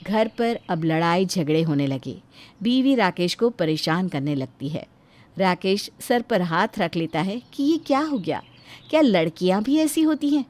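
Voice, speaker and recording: A woman's voice: on the low side, lively intonation, measured pace, a little mellow. A woman narrating a scripted moral story between lines of dialogue.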